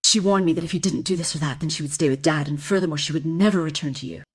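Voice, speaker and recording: Low, husky, bitter woman. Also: very fast, no room sound. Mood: bitter confession. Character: cold, bitter mother, a falcon keeper under suspicion. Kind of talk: crime audio drama dialogue.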